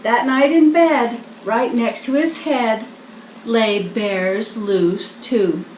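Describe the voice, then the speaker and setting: An older woman reads a children's storybook in a high voice at an unhurried pace. She is expressive and deliberate, recorded in an echoey room a little way from the mic. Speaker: storybook reader, host. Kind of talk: children's picture-book read-aloud. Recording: echoey room, distant mic, faint noise.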